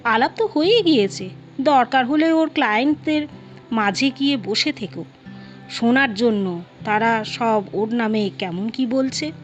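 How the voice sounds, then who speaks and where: A woman's voice: fairly high pitch, lively intonation, a little slow. A woman storyteller voicing a suspicious, insistent middle-aged wife and mother in a nagging manner. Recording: dull lo-fi audio, music behind.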